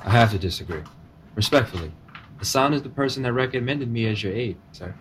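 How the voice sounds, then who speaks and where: An actor playing a confident, ambitious nineteen-year-old estate aide in a modern audio drama, speaking respectfully and earnestly. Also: male voice, medium pitch, animated, a little fast, background noise, close to an everyday voice. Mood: assured, respectful. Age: young adult. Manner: respectful, earnest, self-assured.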